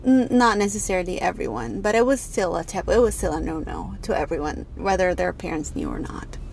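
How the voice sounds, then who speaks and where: A woman's voice: fairly low pitch, sing-song, fairly quick, gravelly, darkish tone, slight background noise, rough recording. A young adult woman from Egypt, chatting candidly as a podcast guest, laughing often and restarting her sentences. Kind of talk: casual interview chat.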